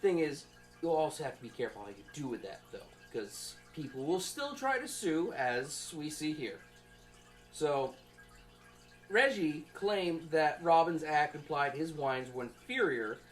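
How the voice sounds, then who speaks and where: A young man giving an informal student presentation from notes, in a halting, conversational way. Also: on the high side, very animated. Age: young adult.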